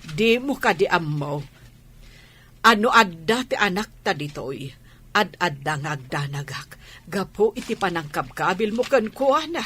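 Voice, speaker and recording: Lady acting a worried older-ish wife, reproachful, lowered everyday voice, radio drama. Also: very animated, a little slow, a bit bright, breathy edge, full character voice. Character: worried middle-aged wife reproaching her husband.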